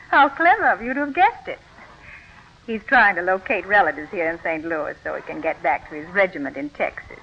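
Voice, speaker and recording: A woman's voice: on the low side, lively intonation, normal speed, slight background noise. An actress in a 1940s radio drama playing a poised, shrewd nineteenth-century lady lawyer, speaking formally and articulately. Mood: gracious, light. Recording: muffled vintage radio recording.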